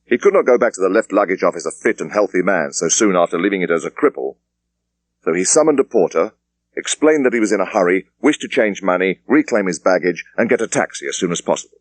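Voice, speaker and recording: A man with an English accent reading a thriller audiobook in a measured, precise way. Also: sits low, lively intonation, no room sound. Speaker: audiobook narrator. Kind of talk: scripted thriller narration.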